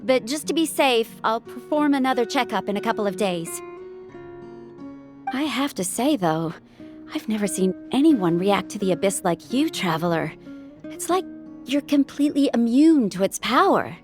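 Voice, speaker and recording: A woman's voice: fairly high pitch, lively intonation, moderate pace, close to an everyday voice. A woman playing a kind young healer. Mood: reassuring. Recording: game audio with loud music.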